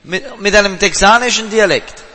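A male interpreter turns each line of the sermon around right after the preacher, in a high and bright voice. The long echo of a large hall hangs behind him. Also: normal speed.

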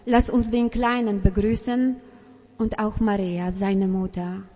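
A woman from Poland gently reading a Christmas story aloud at church. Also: mid-range voice, fairly even intonation, measured pace, in a large hall. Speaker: church reader.

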